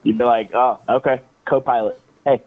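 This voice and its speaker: A man's voice: mid-range voice, fairly even intonation, moderate pace, a little hiss or hum. American man on a thin phone line. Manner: colloquial, emphatic, acts out quoted remarks. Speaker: caller to a live show. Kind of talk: casual phone chat. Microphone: phone call.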